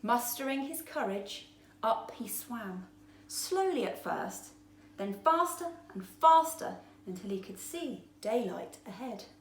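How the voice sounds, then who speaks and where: A woman reading her own children's picture book aloud with slow, expressive storytelling. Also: fairly high pitch. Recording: roomy echo, faint background noise.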